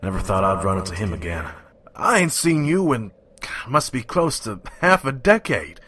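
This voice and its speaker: A man voicing a wary, gruff stallion trader who narrates a wasteland audio drama tersely. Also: mid-range voice, sing-song, moderate pace, fairly bright tone, reverberant, distant mic. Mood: surprised, pleased. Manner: terse, guarded. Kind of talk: first-person story narration and dialogue.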